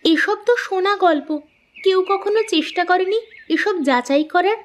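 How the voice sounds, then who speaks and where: A woman's voice: very high-pitched, a little slow. A woman playing a curious, earnest young daughter-in-law in a cartoon, with a questioning, concerned manner. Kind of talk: scripted cartoon dialogue.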